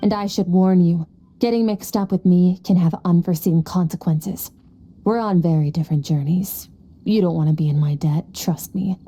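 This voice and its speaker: A woman's voice: fairly low pitch, moderate pace, warm tone, husky. A woman playing a cold, aloof and powerful young female warrior in a video game, curt, detached and condescending. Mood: brusque, businesslike.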